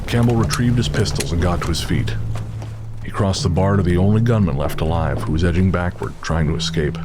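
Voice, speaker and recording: Low-voiced man narrating a dramatic story. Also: fairly quick, background noise. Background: music.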